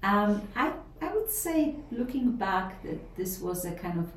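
A woman's voice: medium pitch, very animated, measured pace, a little hiss or hum. A middle-aged woman from Poland with a Polish accent, a professor answering interview questions thoughtfully, with many fillers and restarts. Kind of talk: interview conversation. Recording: roomy, a bit distant from the mic, faint ambience.

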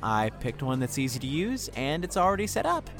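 A man playing an earnest, soft-hearted young man in love, in an audio drama. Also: medium pitch, very animated, fairly quick, faint music behind. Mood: relieved, eager. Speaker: voice actor.

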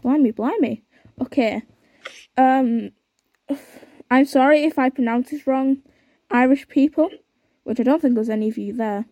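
A woman's voice: high voice, unhurried, long pauses, warm tone, no room sound, lo-fi sound. A young woman hosting a podcast, chatting casually and reading aloud with lots of fillers and unsure asides. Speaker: podcast host.

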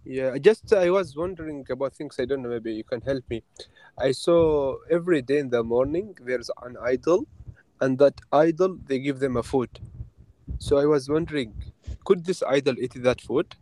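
Curious man from Oman, mellow voice. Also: mid-range voice, low-quality recording. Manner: curious, asks many questions, halting non-native English. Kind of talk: casual chat. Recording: online call audio with faint noise.

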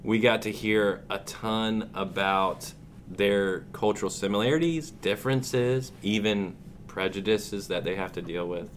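A man's voice: fairly low pitch, fairly even intonation, measured pace, slight background noise. A man, a teacher hosting a school podcast in an upbeat, welcoming way.

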